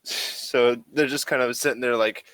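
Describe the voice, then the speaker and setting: A man's voice: mid-range voice, steady tone, moderate pace, fairly bright tone, dry recording. A man acting as game master, casually narrating and asking questions in a hesitant way.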